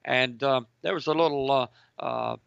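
A man's voice: medium pitch, normal speed, gravelly, smooth, no echo, dull audio. A man hosting a talk radio show, speaking to his listeners in a preacherly way and interviewing a guest. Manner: preacherly, addresses listeners as ladies and gentlemen.

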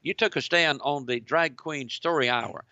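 A man's voice: fairly high pitch, moderate pace, no echo, muffled recording. A man hosting a talk radio show, speaking to his listeners in a preacherly way and interviewing a guest. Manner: preacherly, addresses listeners as ladies and gentlemen.

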